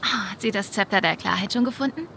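A woman's voice: mid-range voice, quick pace, creaky, bright, forceful tone, a little hiss or hum. Wry young woman in a story game. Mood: friendly, warm. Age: young adult. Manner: dry, casual. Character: wry, friendly young woman, long separated from her brother. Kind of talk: naturalistic video game dialogue and inner monologue.

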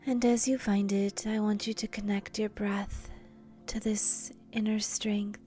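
Slow, soft woman guiding a meditation. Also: mid-range voice, little pitch movement, soft background music. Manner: gentle, soothing, short phrases with long pauses. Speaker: meditation guide, channel host. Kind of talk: guided meditation.

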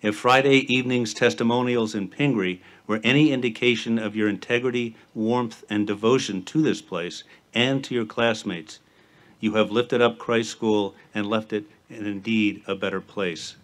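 A middle-aged man, a school headmaster, giving a formal commencement address at a podium outdoors, speaking in measured, rhythmic lists. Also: lowish voice, fairly even intonation. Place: outdoor stage at a campus ceremony. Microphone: podium microphone with PA.

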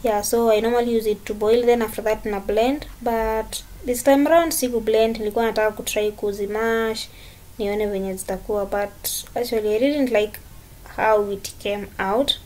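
A young woman with a Kenyan accent talks casually, as if to her regular vlog viewers. Her voice sits fairly high yet has a very mellow, soft-edged tone. She speaks in an informal, conversational way. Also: steady tone, moderate pace. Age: young adult. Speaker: vlogger. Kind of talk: casual vlog chat, mixing English and Swahili.

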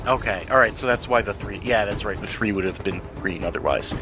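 A man's voice: lowish voice, brisk. Jokey man running a tabletop game over music. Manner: jokey, swearing, laughs often. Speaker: game master. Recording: music playing behind the voice.

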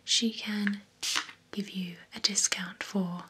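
A young woman playing a poised, courteous female vampire spa beautician in a breathy, soft ASMR whisper. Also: mid-range voice, level tone. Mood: soothing, professional. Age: young adult. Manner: slow, soothing, formal, attentive. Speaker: ASMR performer. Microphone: close binaural microphone. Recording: close ASMR microphone with background hiss.

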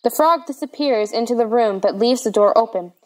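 High-pitched woman narrator. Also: a little fast, rough recording. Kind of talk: scripted narration of stage directions.

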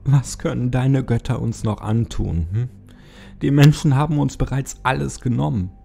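A man's voice: medium pitch, moderate pace, creaky, warm tone, slightly rough, faint music behind, pitched above the performer's own voice. A man voicing a despairing, drugged Egyptian man in a horror story, bitter, defiant and impassioned. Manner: impassioned, raised, bitter delivery.

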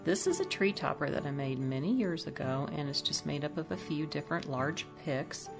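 Gravelly-voiced woman decorating her kitchen. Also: low-pitched, moderate pace, music in the background. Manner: relaxed, chatty, thinking aloud. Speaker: home decor YouTuber. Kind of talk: casual voice-over demo. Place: home kitchen.

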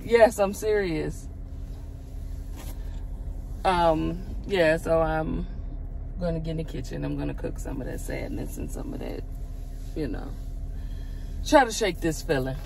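A woman's voice: low-pitched, creaky, a little mellow, rough recording. Woman vlogger in her car, lots of ums, traffic noise in the back. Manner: halting, many filler pauses. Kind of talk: casual vlog talk. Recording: road noise behind.

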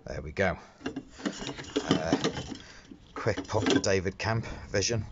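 Low-pitched man, dull noisy recording. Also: takes it slowly, creaky. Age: middle-aged. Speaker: window cleaner demonstrating a fitting. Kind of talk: casual hands-on how-to talk. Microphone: handheld phone. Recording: dull phone recording with background noise.

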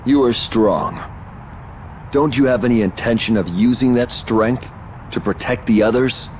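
A man playing a noble, wise armored leader in a video game, formal, measured and earnest. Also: mid-range voice, darkish tone, a little hiss or hum, natural voice.

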